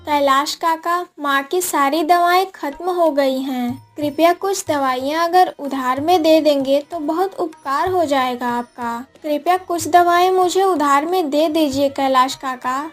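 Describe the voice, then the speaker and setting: A very high, girlish voice from a woman actor plays a poor village daughter in a cartoon moral tale. She is anxious and dutiful, speaking with earnest pleading. Light music plays behind her. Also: measured pace, few pauses. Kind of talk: acted Hindi moral story cartoon dialogue.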